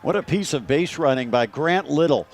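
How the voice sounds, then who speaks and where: A male baseball commentator with an American accent and a somewhat high-set voice. He describes and analyses plays in the style of a live game broadcast. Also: expressive, normal speed, a little hiss or hum.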